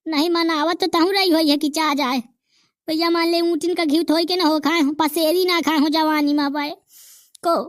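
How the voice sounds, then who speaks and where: A woman's voice: very high-pitched, steady tone, normal speed, dry recording, studio quality. A woman voicing Nanku, a boastful old village grandfather, with a Bundelkhandi accent and rustic, bragging rants in a cartoon comedy.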